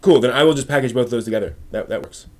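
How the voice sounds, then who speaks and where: A man's voice: medium pitch, racing, darkish tone. A man hosting a live stream, chatting casually with viewers in an informal, rambling way as he thinks aloud.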